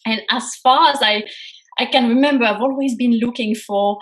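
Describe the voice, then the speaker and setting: Woman, French accent, fairly high pitch, earnest, animated storytelling, interview guest. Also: moderate pace, rough recording. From France. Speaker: guest, career coach. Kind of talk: podcast interview.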